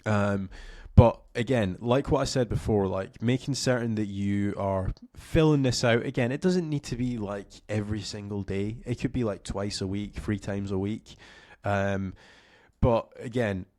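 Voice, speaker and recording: A young man, slightly hoarse with a cough, giving a casual, filler-heavy screen-share tutorial into a close studio mic. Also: lowish voice, measured pace, darkish tone, no room sound. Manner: casual, rambling with many fillers. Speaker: SEO coach.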